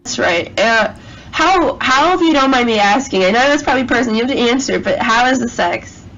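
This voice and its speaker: A woman's voice: fairly high pitch, lively intonation, fairly quick, a bit bright. A woman casually asking questions on a private call, prodding and agreeable, often echoing the answers. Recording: muffled, noisy leaked phone recording.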